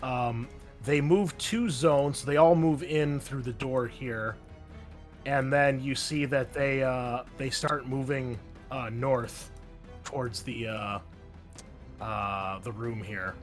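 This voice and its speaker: A man's voice: medium pitch, fairly even intonation, slow, faint music behind. Chatty young man running a tabletop game. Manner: loose and chatty, many ums and uhs, swears casually. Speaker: game master. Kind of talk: narrating a tabletop game over voice chat.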